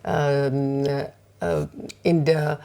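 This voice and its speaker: A Slovak woman, a fashion designer and author, speaking English as a second language with a Slovak accent, pausing often with many fillers in a community TV interview. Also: rumbling low, big pitch swings, warm tone, clear, resonant tone. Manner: halting, many fillers and pauses, simple English.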